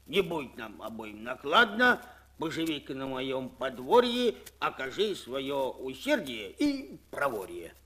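A man's voice: medium pitch, huge pitch swings, unhurried, pushed and bright, heavily acted. A man voicing a greedy, fretful old priest on a children's story record, blustering at his worker. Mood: scheming.

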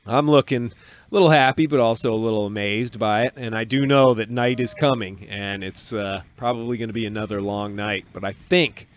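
A man in his forties giving a relaxed, casual voice-over on a sailing clip from a small cabin studio, talking into a desk mic. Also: lowish voice, a little fast, dull audio. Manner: relaxed, explanatory, with restarts. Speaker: solo sailor vlogger. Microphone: desk microphone on boom arm.

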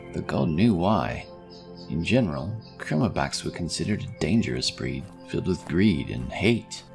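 A man's voice: low-pitched, vocal fry. A man reading scripted fantasy narration as the storyteller.

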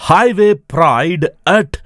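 A man's voice: a little high, lively intonation, slow. A man reading a scripted promotional voice-over as an advertisement announcer.

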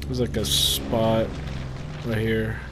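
A man's voice: lowish voice, completely flat delivery, takes it slowly, very noisy. A young man giving casual gameplay commentary, muttering to himself and swearing freely. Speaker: gamer recording a playthrough.

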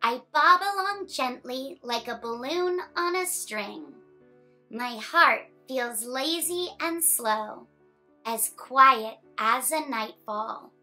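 A young woman hosting children's story time, reading a picture book aloud in an animated, expressive way. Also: sits high, takes it slowly, faint music behind. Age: young adult.

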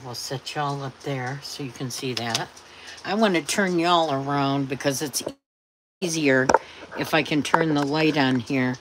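A chatty woman shows her viewers around as she cooks at home. She talks into a phone camera propped on the kitchen counter, and a loud hiss of background noise runs under her voice. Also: a bass voice. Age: 60s. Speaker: cooking vlogger. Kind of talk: casual cooking demo.

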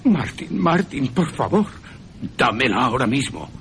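A man's voice: medium pitch, sing-song, measured pace, fairly bright tone, a little hiss or hum, pitched above the performer's own voice. A man voicing a frantic asylum madman, begging and whining, in a radio drama. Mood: frantic pleading. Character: pleading, frantic madman patient who hoards bugs.

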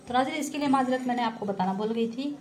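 A woman's voice: a little high, quick pace, a little hiss or hum. A woman narrating a home cooking tutorial in a friendly, chatty way, often greeting viewers and adding religious blessings as she cooks. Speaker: cooking vlogger. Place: home kitchen, beside a frying stove.

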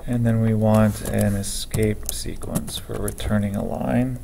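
A man's voice: on the low side, level tone, creaky, noisy background. A man walking through code step by step in a screencast lesson, thinking aloud as he types. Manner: slow, methodical, thinking aloud while typing. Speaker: programming teacher. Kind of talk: screencast coding tutorial. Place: at a computer, keyboard typing heard.

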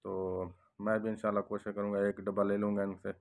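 A young man from Pakistan vlogging inside a bakery, speaking slowly and devoutly and repeating blessings, recorded on a handheld phone. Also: on the low side, monotone, gravelly, a little mellow. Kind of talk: casual walk-through vlog with prayers. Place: bakery shop indoors.

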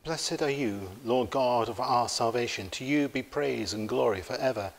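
A middle-aged man leading worship as a priest, praying in a solemn, formal and measured way. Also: medium pitch, vocal fry. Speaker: priest leading a church service. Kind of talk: solemn liturgical prayer. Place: inside a church, at the altar. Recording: reverberant church interior.

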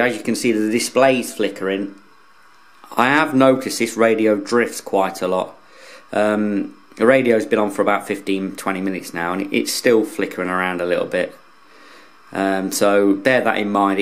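Low-voiced man giving a casual review. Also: fairly even intonation, moderate pace. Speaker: radio hobbyist reviewer. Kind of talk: casual product review, unscripted demonstration.

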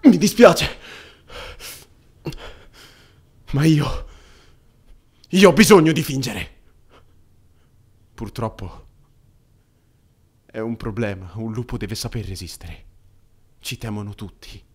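A man's voice: medium pitch, animated, slow, pauses often, a little mellow, slightly rough. A man voicing a timid, apologetic young male wolf student in an anime fan dub, hesitant and stammering. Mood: subdued, sincere.

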